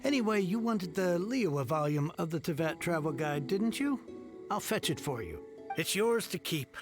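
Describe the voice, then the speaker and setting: A man playing an excitable, effusive merchant heir fallen on hard times in a video game, with a creaky voice and formal, earnest speech. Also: on the high side, normal speed, over music, close to an everyday voice. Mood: grumbling, then grateful. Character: excitable, grateful, down-on-his-luck merchant heir. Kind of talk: animated game character acting.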